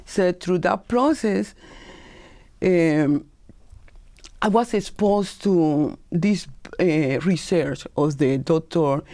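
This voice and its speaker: Woman guest from Colombia with a Colombian Spanish accent, gravelly and warm-toned, earnest and searching for words. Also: on the low side, very slow. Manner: earnest, repeats words as she searches for phrasing. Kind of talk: talk show interview.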